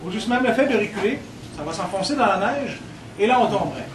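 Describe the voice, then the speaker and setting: A Quebec-accented man guides a group of visitors, joking and teasing as he explains. His voice sits high for a man. The sound comes from a camera some distance away, with a loud wash of room noise behind him. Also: animated, fast. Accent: Quebec French. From Quebec, Côte-Nord. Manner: playful, teases the audience. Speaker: cultural tour guide. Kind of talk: guided tour talk to visitors.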